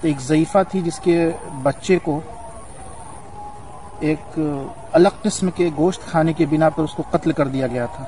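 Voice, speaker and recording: Male religious guide, fairly high register, earnest and reverent storyteller, formal phrasing, outdoor location sound with background noise. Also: a little slow, dark tone, poor audio. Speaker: religious guide narrating a shrine's history. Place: outdoors on a hilltop at a shrine. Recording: outdoor recording with clear ambient noise.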